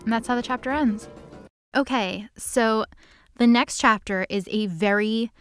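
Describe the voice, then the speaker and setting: A woman's voice: on the high side, a little slow, fairly bright tone, soft background music. A woman giving a casual, comedic recap of a story in slangy language and acting out the dialogue. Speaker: co-host recapping a story series.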